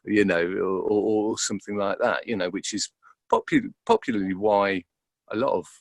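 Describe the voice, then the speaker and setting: A man's voice: lowish voice, very animated, moderate pace, gravelly, no room sound. A man reflecting in an interview, speaking hesitantly and repeating words as he thinks aloud. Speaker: interviewed actor.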